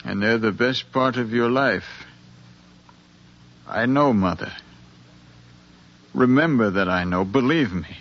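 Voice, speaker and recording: An actor playing an earnest, insistent middle-aged son pleading with his mother, in a deliberate, impassioned American radio drama style from the 1950s. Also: mid-range voice. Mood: earnest, tender. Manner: impassioned, deliberate, speechlike with repeated phrases. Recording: dull 1950s radio recording, music.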